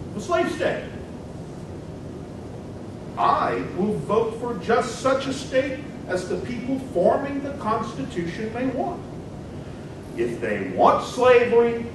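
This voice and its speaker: A man playing an impassioned 19th-century American senator, declaiming emphatic political oratory and rhetorical questions in a dramatic reading. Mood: challenging. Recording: very echoey hall, distant mic, loud rumbling noise.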